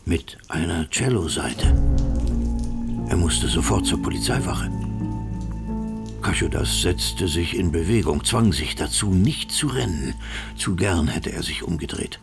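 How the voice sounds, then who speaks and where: A man narrating a radio crime drama as a grave storyteller, measured and suspenseful. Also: low-pitched, expressive, creaky, over loud music, exaggerated voice.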